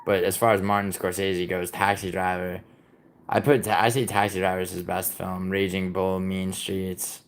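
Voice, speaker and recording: Low-voiced, rambling, foul-mouthed streamer man. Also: level tone, normal speed. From born in Italy, raised in New York. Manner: rambling and profane, with many "like" and "I mean" fillers and restarts. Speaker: livestreamer chatting with viewers. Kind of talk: casual live-stream chat, reading out and answering chat messages.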